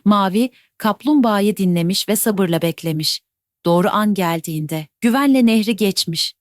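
A woman telling a children's bedtime fairy tale in a gentle, even storytelling voice. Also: medium pitch, normal speed, dry recording, studio quality.

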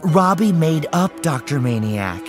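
A man voicing a worried, disbelieving mother in an audiobook, measured, questioning and firm. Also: on the high side, sing-song, music in the background. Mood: skeptical. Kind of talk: audiobook character acting.

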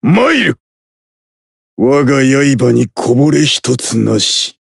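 A man's voice: medium pitch, sing-song, slow pace, gravelly, breathy edge, dry recording, clean studio sound, full character voice. A man playing a stern, disciplined swordsman in an anime game, terse and resolute. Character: stern, disciplined swordsman warrior, adult male. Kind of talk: anime game battle acting.

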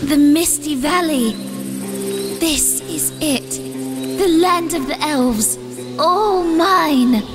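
A woman's voice: high voice, extremely slow, pitched above the performer's own voice, full character voice. A woman voicing a lonely young village girl who speaks wistfully and plaintively. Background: music.

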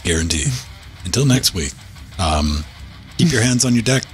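A man hosting a podcast in casual, wry, deadpan chat with a bit of profanity, speaking into a studio mic in a home studio. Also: on the low side, normal speed, faint music behind. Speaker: podcast host.

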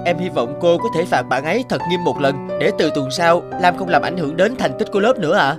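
A man's voice: on the high side, expressive, a little fast, loud music behind the voice, close to an everyday voice. A man voicing a confident, teasing teenage boy class monitor in a cartoon drama, articulate and self-assured. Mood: stern, cocky. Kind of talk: animated drama dubbing.

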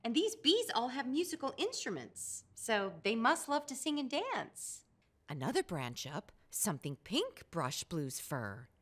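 A woman's voice: fairly high pitch, wildly swooping pitch, unhurried. A woman in her thirties reading a children's storybook aloud expressively, with playful, emphatic asides for young listeners.

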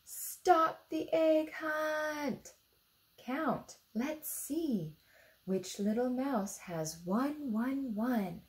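A woman in her thirties with an American accent, reading a picture book aloud to young children expressively, pausing to ask them simple questions. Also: high voice, expressive. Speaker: storytime reader for children. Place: indoor room beside a book display.

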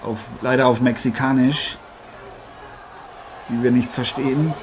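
A man's voice: medium pitch, fairly even intonation, unhurried, music in the background, lightly acted. A man playing a brash, chummy boxing figure in an improvised mock sports radio play, leaning on repeated catchphrases. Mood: excited, chummy. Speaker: boxing commentator. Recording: clearly noisy amateur recording.

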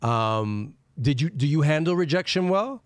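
A man's voice: a little high, animated, measured pace, smooth, no room sound. A man co-hosting a studio podcast, asking loose questions that he restarts often. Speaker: podcast co-host. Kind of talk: casual podcast interview. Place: studio set with neon sign. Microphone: studio mic on a boom arm.

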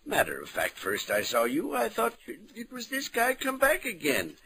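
An actor playing a wisecracking, chatty theater stage-door keeper with an American accent in an old-time radio drama. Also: male voice, high-pitched, huge pitch swings, normal speed, heavily acted. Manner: chatty, colloquial. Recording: slightly noisy old radio recording.

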